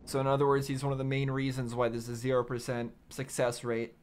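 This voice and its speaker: A man giving casual reaction commentary, haltingly thinking aloud as a video host. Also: mid-range voice, completely flat delivery, darkish tone, clear, resonant tone.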